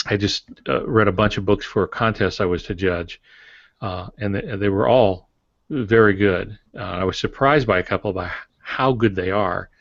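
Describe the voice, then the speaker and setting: A man co-hosting a writing podcast, speaking in a relaxed, thoughtful way with frequent pauses and fillers. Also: lowish voice, vocal fry, dry recording. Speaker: podcast co-host, author. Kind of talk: casual podcast chat. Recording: dull lo-fi audio.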